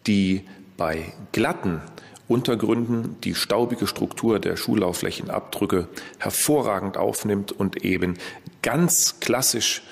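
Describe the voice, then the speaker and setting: A man speaking as a forensic expert, explaining technical matters in measured, halting phrases. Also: lowish voice, gravelly, breathy edge. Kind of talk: explanatory interview statement. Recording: reverberant room, faint music. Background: faint music.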